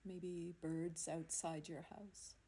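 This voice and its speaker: A woman leading a guided yoga nidra meditation in a soothing, unhurried manner, leaving long pauses between phrases. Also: fairly low pitch, flat delivery, warm tone. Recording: amateur recording with faint background noise.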